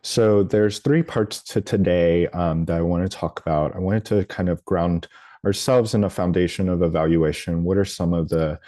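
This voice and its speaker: A man's voice: low voice, fairly even intonation, moderate pace, warm tone, dry recording, studio quality. A man from New Orleans leading a training workshop over a video call, talking his way through with frequent ums and restarts. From New Orleans, United States. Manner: hesitant, lots of ums and restarts. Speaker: workshop presenter. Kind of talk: live training presentation.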